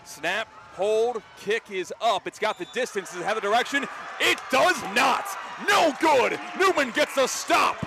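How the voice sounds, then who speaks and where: An American man doing live play-by-play radio commentary of high school football, excitable and ready to shout the big calls. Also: unhurried, creaky, a crowd in the background, background noise. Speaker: play-by-play announcer.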